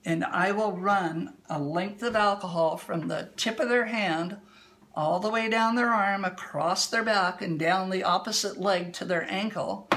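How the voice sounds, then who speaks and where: A woman calmly explaining a hands-on demonstration at a table for a livestream, a little hoarse. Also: fairly low pitch, a little hiss or hum. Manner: calm, explanatory, unhurried. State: slightly hoarse. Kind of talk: instructional demo talk. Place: indoor room at a table.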